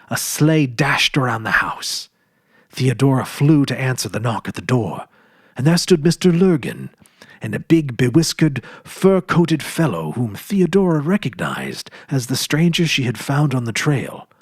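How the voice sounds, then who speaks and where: A man narrating a classic holiday story in an expressive, gentle storytelling manner. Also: mid-range voice, moderate pace, husky, no echo, clean studio sound. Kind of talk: dramatic reading of a classic story.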